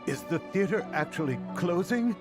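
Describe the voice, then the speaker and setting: An outspoken young man from an anime-style game. His voice is fairly high and swings around a lot, emphatic and indignant, with clear music underneath. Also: lively intonation, moderate pace.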